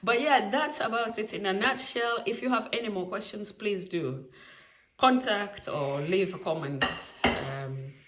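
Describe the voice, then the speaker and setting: A woman's voice: mid-range voice, unhurried, a bit bright, slight background noise. A woman in her thirties from Kenya with a Kenyan accent, talking casually to camera at home and laughing often. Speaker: vlogger. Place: quiet home.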